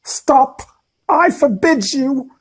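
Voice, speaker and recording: A man voicing a pompous, frightened middle-aged uncle who barks shrill, panicked commands in an audiobook. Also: lively intonation, drawn-out words, a bit bright, heavily acted.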